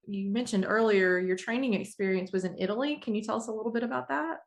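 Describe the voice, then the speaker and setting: A woman's voice: medium pitch, fast talker. A woman hosting an interview, asking eager questions with open enthusiasm. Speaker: podcast host.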